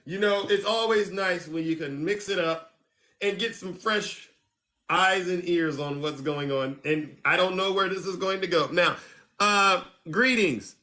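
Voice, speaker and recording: Bright-voiced playful male stream host. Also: high voice, measured pace. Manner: playful, teasing, swings between loud and soft. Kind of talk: casual live call-in chat.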